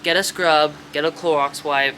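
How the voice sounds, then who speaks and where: Young male vlogger, congested, bright edge, rambling and profane; echoey shed. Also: a little high, fairly even intonation, normal speed, a little hiss or hum. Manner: rambling, profane, chatty with viewers. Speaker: reptile and rat breeder vlogger. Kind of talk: casual show-and-tell vlog. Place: rat shed.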